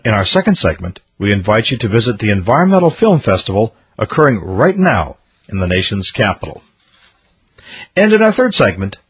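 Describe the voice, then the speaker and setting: A man hosting a radio show, reading scripted narration in an upbeat, promotional announcer manner with a husky voice. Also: fairly low pitch, no echo.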